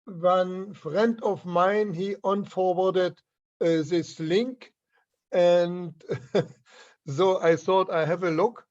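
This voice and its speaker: A man's voice: sits high, fairly even intonation, a little mellow, muffled recording. A man with a German accent, a native German speaker, talking hesitantly in an online meeting over a video call. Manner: hesitant, many fillers, slow. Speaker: author and meeting participant. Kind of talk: casual video call discussion.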